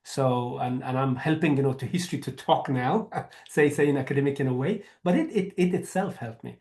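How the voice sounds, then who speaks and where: A man from Afghanistan speaks as a historian on a video call, in a mid-range voice. His talk is loose and conversational, full of "you know" and quick asides. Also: mid-range voice, a little mellow. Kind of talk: academic talk and Q&A.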